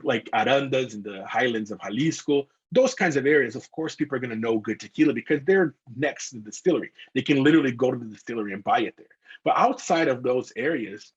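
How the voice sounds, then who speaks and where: A young man from Brazil speaks English. His voice is husky and the sound is a little dull and muffled. He talks eagerly with many fillers. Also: medium pitch. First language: Portuguese. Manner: enthusiastic, filler-heavy with "like" and "you know". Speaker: bartender and tequila expert. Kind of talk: casual interview talk.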